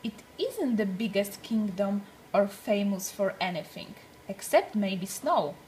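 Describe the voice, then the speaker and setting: A woman reading a storybook aloud for young children, with deliberate, expressive phrasing. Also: medium pitch, a little hiss or hum, poor audio.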